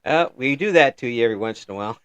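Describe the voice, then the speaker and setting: A man's voice: medium pitch, expressive, very fast, clear, resonant tone, no echo, lo-fi sound. An older man from Illinois hosting an interview in a friendly, folksy way, chuckling between remarks. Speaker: interview host. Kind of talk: casual interview chat.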